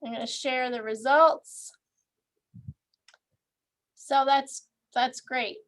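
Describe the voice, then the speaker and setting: A woman's voice: sits high, fairly even intonation, slow pace, fairly bright tone. A tired woman co-hosting an online event, making informal announcements to the participants. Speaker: event organizer, co-host. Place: online video call.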